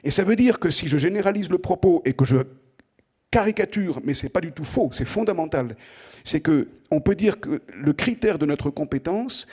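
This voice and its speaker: A middle-aged male professor, a native French speaker, lecturing in a slightly husky voice that sits a little high for a man. He talks to the students conversationally, asks them direct questions and repeats words while he works out his ideas. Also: a little mellow. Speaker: professor giving an opening lecture. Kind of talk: lively spoken lecture to students.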